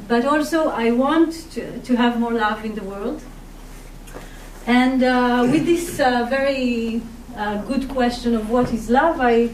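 Israeli-accented woman speaker, hesitant and soft, recorded at a distance in a reverberant hall. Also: a little high, slight background noise. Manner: hesitant, many fillers, soft-spoken. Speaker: workshop speaker. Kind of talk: personal talk to an audience. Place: community hall. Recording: echoey hall, mic at a distance.

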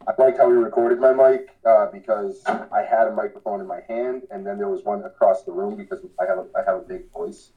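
A man's voice: fairly low pitch, animated, brisk, hardly any pauses, narrow, tinny audio. A man giving casual, slangy interview answers as a podcast guest, earnest and rambling. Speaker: podcast guest, hardcore band vocalist.

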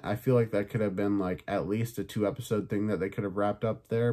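Male podcast host, lowish pitch, slightly raspy and sore, casual and chatty, swears freely. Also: a little mellow. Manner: loose and chatty, swears, many fillers. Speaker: podcast host reviewing films and shows. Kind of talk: casual review chat.

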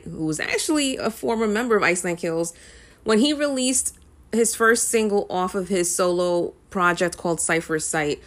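A woman's voice: on the low side, fairly bright tone. A young woman talking casually to camera as a music vlogger, rambling with frequent ums and uhs.

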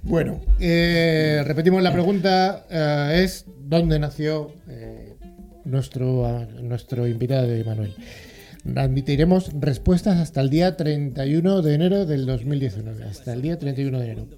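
Upbeat radio host, mellow tone. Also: male voice, on the high side, normal speed, faint music behind. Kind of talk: casual radio chat.

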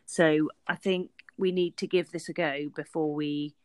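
A woman's voice: deep voice, steady tone, unhurried, darkish tone, no echo. A woman co-hosting a workplace podcast, talking earnestly and often restarting her sentences. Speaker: podcast co-host. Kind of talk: casual workplace podcast chat.

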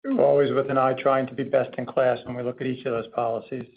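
A man's voice: mid-range voice, little pitch movement, fast talker. A man speaking as a company executive, explaining things in wordy corporate phrasing on an earnings call. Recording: thin, tinny phone-conference line.

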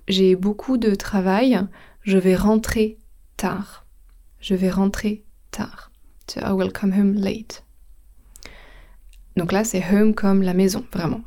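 A French woman gives a podcast language lesson in an unhurried, deliberate way, spelling out words and slipping into English to explain them. Her voice is mellow and soft-edged, sitting at an ordinary middle pitch, and she lets each phrase land slowly. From France. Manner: slow, careful explanation mixing French and English. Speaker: French teacher.

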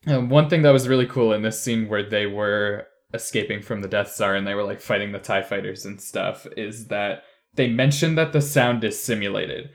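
A man's voice: lowish voice, normal speed, few pauses. A man co-hosting a podcast, chatting casually and acting out paraphrased lines in a conversational way. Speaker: podcast co-host. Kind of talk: casual group chat reviewing a drama.

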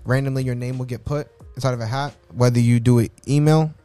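A man co-hosting a podcast in casual chat, speaking in a monotone with little emotion. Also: mid-range voice, fast talker, smooth, dry recording, faint music behind. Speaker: podcast co-host.

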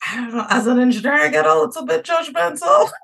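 A woman's voice: sits high, animated, normal speed, creaky, a bit bright. A Trinidadian woman in a casual podcast interview, chatty and easygoing, with many fillers and restarts. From Trinidad and Tobago. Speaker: podcast guest.

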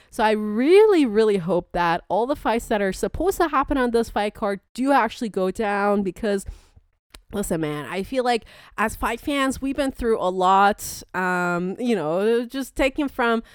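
A woman's voice: a little high, clean tone, dry recording, studio quality. A woman hosting a sports show, giving chatty, enthusiastic, colloquial commentary on fights in a casual style. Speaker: sports podcast host.

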